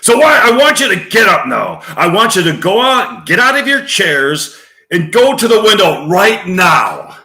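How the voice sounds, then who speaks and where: A furious rebel, acted out by a man, shouts a rousing film monologue. The voice is pitched high and forceful, declaiming in an echoing room. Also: sing-song, measured pace, heavily acted. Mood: furious, urgent. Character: furious ranting man urging everyone to rebel. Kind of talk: dramatic movie monologue reading. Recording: roomy, echoing space.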